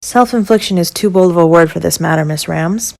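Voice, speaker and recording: A woman playing a formal, skeptical council member in a fantasy audio drama, measured and questioning. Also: on the low side, gravelly.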